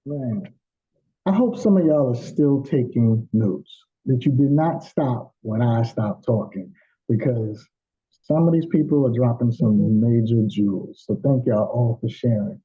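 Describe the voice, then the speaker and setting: A man's voice: medium pitch, unhurried, breathy edge, phone-quality sound. A man hosting a group coaching call in a casual, playful, teasing way.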